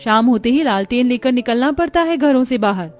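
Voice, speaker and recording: A woman's voice: on the high side, lively intonation, fairly quick, faint music behind, natural voice. A woman voicing a plain-spoken village sister-in-law in a cartoon story. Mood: explaining, resigned.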